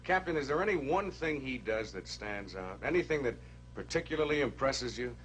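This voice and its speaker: A man playing a calm army social worker. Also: medium pitch, a little fast, a little hiss or hum, natural voice, low-quality recording. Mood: probing. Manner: calm, probing questions. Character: calm male army social work specialist. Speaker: interviewer. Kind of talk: 1960s educational film acting.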